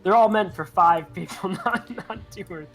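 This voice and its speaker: The high-pitched buddy on a gaming group's voice chat, a young guy chiming in over soft game music. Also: moderate pace. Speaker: co-player in a gaming video. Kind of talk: casual chat.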